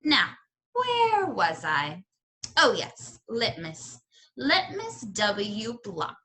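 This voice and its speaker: A woman's voice: fairly high pitch, huge pitch swings, extremely slow, bright, forceful tone, heavily acted. A woman voicing an elderly, genteel lady librarian in a storybook, exclaiming theatrically and fond of telling tales. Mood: genteel, settling in.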